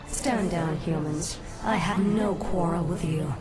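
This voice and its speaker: Game villainess role: imperious banshee queen, controlled, vengeful, somewhat low voice. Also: slow. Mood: cold persuasion. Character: vengeful undead elf queen, female, cold, cunning, commanding. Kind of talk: video game cutscene acting. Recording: game audio under loud music.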